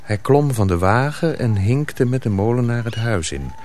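A man's voice: medium pitch, animated, moderate pace, darkish tone, a little hiss or hum. A man narrating a radio drama from a script.

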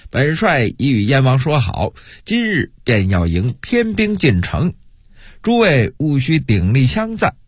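Man playing a pompous, husky turncoat army commander. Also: mid-range voice, huge pitch swings, a little slow, no echo, studio quality, full character voice. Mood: pompous rallying. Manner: pompous, speechifying, sneering.

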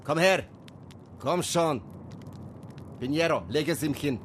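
An actor playing a commanding Apache man who gives terse, gruff orders to a boy in a radio drama. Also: fairly high pitch, takes it slowly, a little hiss or hum.

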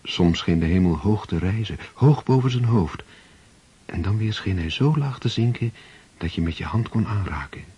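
Very husky, low-voiced male narrator. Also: darkish tone. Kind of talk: scripted storybook narration for radio drama. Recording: dull old radio recording.

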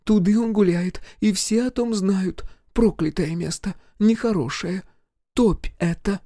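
A man's voice: high-pitched, a little slow, mellow, no room sound, clean studio sound, pitched above the performer's own voice. A man voicing a fussy, superstitious middle-aged village mother-in-law who scolds and gossips. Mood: conspiratorial. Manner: scolding, conspiratorial.

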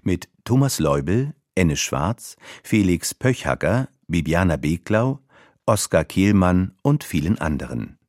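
A man's voice: sits low, very animated, a little slow, darkish tone, dry recording, studio quality. A man reading out the credits of a radio drama series as its announcer.

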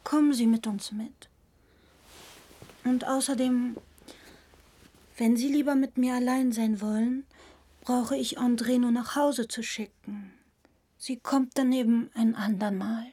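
An actress playing a coquettish, evasive young woman in a literary radio drama, with a coy, soft delivery. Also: on the high side, steady tone. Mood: soft coaxing. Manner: coy, soft, unhurried, slipping into breathy murmurs.

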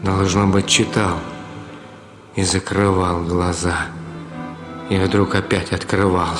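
A man acting a confident, ironic middle-aged gentleman in a radio drama, with a theatrical, teasing delivery. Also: sits low, flat delivery, slow, music in the background. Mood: brooding.

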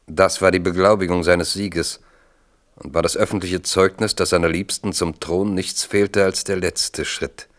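A man narrating a 1970s radio drama in a measured, formal storytelling manner. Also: low voice, steady tone.

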